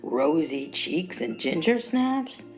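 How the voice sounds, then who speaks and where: Easygoing, slightly gruff male love interest, played by a female narrator, hint of gravel, romance audiobook. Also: deep voice, big pitch swings, takes it slowly, exaggerated voice. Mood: teasing. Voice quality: edge of gravel. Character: gruff but friendly Midwestern boyfriend, busy travelling man.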